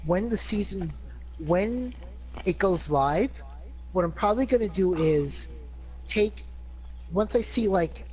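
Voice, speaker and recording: Higher-voiced man chatting casually. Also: a little mellow, breathy edge, low-quality recording. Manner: rambling, restarts and trails off. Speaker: player. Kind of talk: casual chat.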